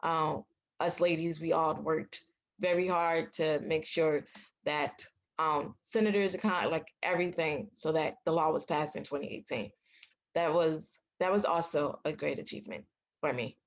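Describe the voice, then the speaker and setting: A young woman from the United States, a patient advocate, telling her story in a casual interview, outspoken and animated. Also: low-pitched, normal speed, dull audio. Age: young adult. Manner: animated, acts out quoted dialogue; loud, outspoken.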